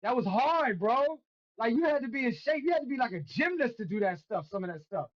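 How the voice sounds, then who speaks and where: A man's voice: extremely high pitch, brisk, highs cut off. A man, telling stories in street slang as a podcast guest. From Brooklyn, New York. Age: 50s. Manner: animated storyteller, street slang. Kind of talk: casual podcast chat.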